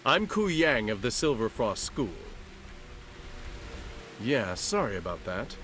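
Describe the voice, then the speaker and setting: An actor playing a guarded, world-weary martial arts master in a video game cutscene, conversational and earnest. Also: male voice, mid-range voice, big pitch swings, slow pace, fairly bright tone, a little hiss or hum. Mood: earnest, regretful. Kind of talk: dramatic game cutscene acting.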